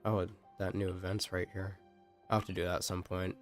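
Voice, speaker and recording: Male game streamer, lowish voice, off-the-cuff and rambling, talking to himself over background music. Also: level tone, brisk. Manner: rambling, thinking aloud. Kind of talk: casual live gameplay commentary.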